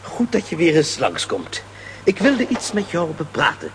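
A man's voice: fairly high pitch, wildly swooping pitch, measured pace, slight background noise, heavily acted. A man acting an absent-minded, fussy professor in a children's radio play, hesitant and forgetful. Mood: flustered.

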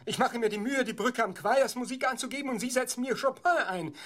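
An actor playing a timid, over-polite playwright in a comic radio play, hesitant and fussily insistent. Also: male voice, extremely high pitch, quick pace, fairly bright tone. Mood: indignant, insistent. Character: timid, fussy male playwright, indignant when crossed. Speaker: author. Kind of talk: comic radio drama acting. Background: music.

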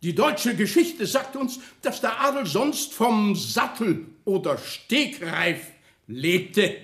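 High-pitched, swooping pompous orator. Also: male voice, expressive, takes it slowly, a bit bright, full character voice. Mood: mocking, grandiloquent. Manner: declamatory, grandiloquent, mocking. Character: officious, mocking councillor declaiming a satirical speech. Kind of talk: German radio play acting. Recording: roomy, echoing radio studio sound.

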